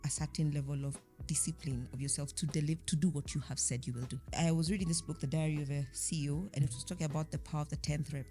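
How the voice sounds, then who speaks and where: A low-voiced woman speaks as a podcast guest in a reflective interview. She explains her points emphatically, often with rhetorical questions and illustrations. Music plays behind her. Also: fairly even intonation, quick pace, hardly any pauses, mellow. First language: French. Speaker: podcast guest, insurance chief executive.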